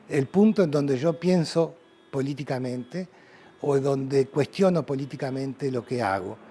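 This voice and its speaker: A man's voice: fairly high pitch, warm tone. A middle-aged man from Uruguay with a Rioplatense Spanish accent, an artist reflecting at length in an interview, with many fillers and restarts. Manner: thoughtful, rambling, frequent fillers.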